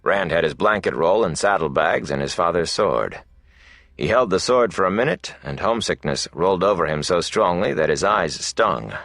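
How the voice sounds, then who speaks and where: A man narrating a fantasy novel as an audiobook, in a measured, dramatic storytelling manner. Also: low-pitched, lively intonation, a bit bright, no room sound, clean studio sound.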